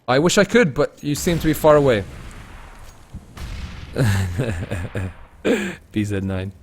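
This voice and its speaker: Male esports commentator, medium pitch, casual streamer chat mixed with keen play-by-play, slight background noise from game gunfire and clicks. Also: takes it slowly. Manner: swings between excited play-calling and low-key rambling.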